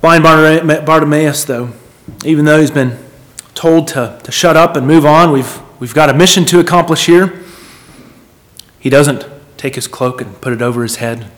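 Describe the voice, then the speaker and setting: A man's voice: fairly high pitch, normal speed, fairly bright tone. A man preaching a sermon in an earnest, emphatic manner, repeating phrases for weight and putting questions to his listeners.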